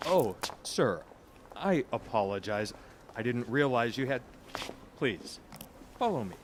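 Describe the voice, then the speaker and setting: An actor playing a courteous, condescending gemstone dealer in an audio drama, speaking formally. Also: male voice, mid-range voice, sing-song, slow, noisy background. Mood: apologetic, deferential. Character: polite, shrewd male gemstone auction dealer.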